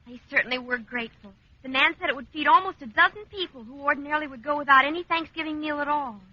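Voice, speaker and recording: An actress playing a dutiful, earnest teenage daughter in a vintage radio sitcom, polite and eager. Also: female voice, high-pitched, fast, close to an everyday voice. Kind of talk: 1950s American radio sitcom acting. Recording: muffled, noisy old radio recording.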